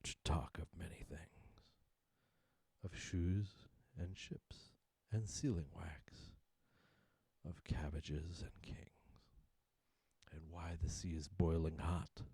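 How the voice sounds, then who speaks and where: A man's voice: low voice, takes it slowly, creaky, dark tone, husky, no echo, whispered, full character voice. A man voicing a genteel, falsely kind walrus in a storybook poem, coaxing and pompous. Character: smooth-talking male walrus, genteel, falsely kind, hungry.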